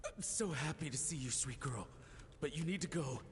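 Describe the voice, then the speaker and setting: A man's voice: fairly high pitch, animated, normal speed, a little hiss or hum, close to an everyday voice. A man voicing a wounded, loving father in a game cutscene, tender and urgent.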